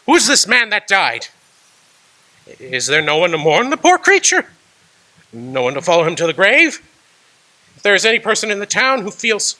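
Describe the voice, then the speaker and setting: Man doing a scared old miser, stammering, pleading, high bright voice, swinging pitch, radio play. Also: full character voice, low-quality recording. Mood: anguished. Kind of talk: amateur radio drama acting.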